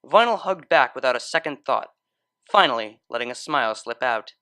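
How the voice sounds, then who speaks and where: A man's voice: medium pitch, expressive, moderate pace, pushed and bright, clean tone, dry recording, studio quality. A man narrating a scripted story in a dramatic, literary style. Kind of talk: expressive scripted fan fiction narration.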